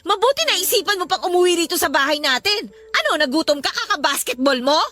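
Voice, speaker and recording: A woman's voice: very high-pitched, expressive, fast, fairly bright tone. A woman playing an exasperated young mother and live-in partner in an emotional Filipino radio drama. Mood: exasperated, hurt. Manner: emotional, scolding.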